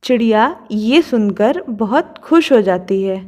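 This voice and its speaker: A woman's voice: on the high side, expressive, takes it slowly, mellow. A woman narrating a children's cartoon story from a script.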